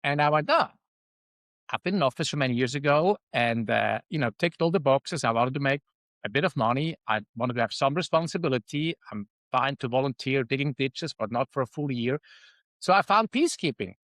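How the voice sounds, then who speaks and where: A man's voice: medium pitch, expressive, brisk, fairly bright tone, no room sound. Swiss man in his fifties, dry sound. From Switzerland. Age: 50s. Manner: reflective, with frequent ums and uhs. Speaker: guest. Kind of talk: casual interview talk.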